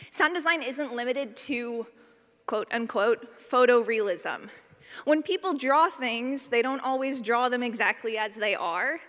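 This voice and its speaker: A woman's voice: high-pitched, bright, forceful tone, big-room sound. A woman giving an informal talk as a sound designer, chatty, playful and self-deprecating.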